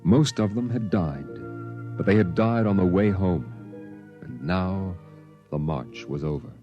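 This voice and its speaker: A man narrating a 1950s radio drama in a grave, measured storytelling voice. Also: sits low, highs cut off, over music.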